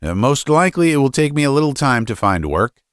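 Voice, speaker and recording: A man plays a young student speaking to his father in a storybook tale. He is deferential and nervous, stumbling over repeated words in a hesitant, lively-pitched voice. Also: fairly quick, clean tone, no echo, studio quality, lightly acted. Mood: timid, hesitant. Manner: hesitant, stammering.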